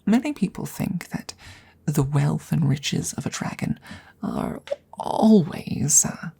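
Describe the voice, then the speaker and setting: A woman plays a courteous, awkward she-dragon in an intimate ASMR roleplay. Her voice is lowish and mellow with a gravelly creak, often thinning to a whisper. She picks her words haltingly and formally, shy and smitten. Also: animated, exaggerated voice. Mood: earnest, confiding. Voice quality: husky, breathy, often slipping into whispers. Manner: hesitant, halting, formal and polite.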